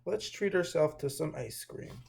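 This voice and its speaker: Storybook guardian, male, played by a man reading aloud: friendly, fatherly, slightly high, creaky and mellow. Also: expressive, unhurried, a little hiss or hum, exaggerated voice. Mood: kindly, upbeat.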